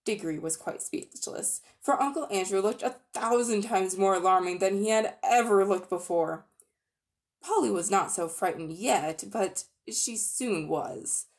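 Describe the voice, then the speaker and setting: A woman giving an expressive, animated dramatic reading of a children's storybook. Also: medium pitch, measured pace. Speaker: reader.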